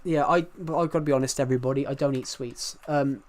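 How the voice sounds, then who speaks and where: A young man from the UK talks with a thick regional British accent at an ordinary middle pitch. He chats casually with his stream viewers and rambles from one thing to the next, often breaking off to restart what he is saying. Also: fairly even intonation, fast talker. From United Kingdom. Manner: rambling, many restarts, chatty and candid. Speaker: live streamer. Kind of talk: casual chat with live viewers.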